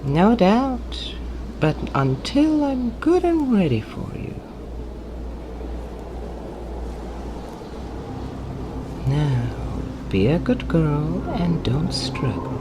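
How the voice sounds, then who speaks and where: A sly, gloating villain acted by a woman toys with the listener in mock-polite taunts. The voice is lowish and swoops widely, set in a sci-fi audio drama over clearly noisy sound. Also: very animated, takes it slowly. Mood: coaxing menace. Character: gloating, sly villain, a renegade Time Lord.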